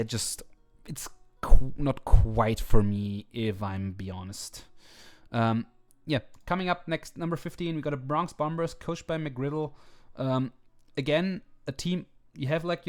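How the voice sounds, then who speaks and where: Hesitant man with a slightly hoarse voice. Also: medium pitch, a little mellow. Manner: hesitant, many fillers and restarts. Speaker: video host ranking teams. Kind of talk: casual commentary. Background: faint background music.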